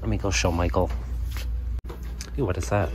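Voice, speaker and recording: A man's voice: deep voice, steady tone, moderate pace, background noise. A man giving casual, off-the-cuff commentary while browsing in a store, recorded on a handheld phone. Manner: off-the-cuff, thinking aloud. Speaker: thrifting vlogger and reseller. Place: inside a large thrift store. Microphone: handheld phone camera close by. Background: busy store ambience.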